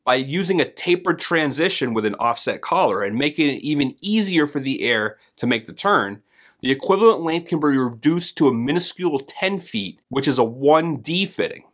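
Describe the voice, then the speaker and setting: A man in his thirties hosting a technical training video, speaking in a scripted, deliberate way straight to camera from a home office. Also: on the high side, expressive, a bit bright. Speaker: host and HVAC trainer. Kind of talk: scripted instructional explainer.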